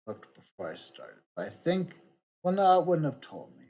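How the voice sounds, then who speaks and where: A middle-aged man giving casual gameplay commentary, thinking out loud as he streams. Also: fairly high pitch, big pitch swings, normal speed. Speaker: game streamer. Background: game music.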